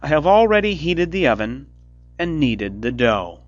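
A man doing a shrill wicked old witch. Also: big pitch swings, takes it slowly, no room sound, exaggerated voice. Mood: sly. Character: wicked old female witch, cruel and scheming. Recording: dull lo-fi recording with faint music.

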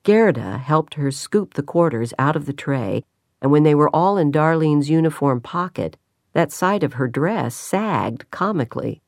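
A woman narrating a novel in an even, measured way, with quiet dialogue parts. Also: low voice, dry recording, clean studio sound. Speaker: audiobook narrator. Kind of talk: scripted narration.

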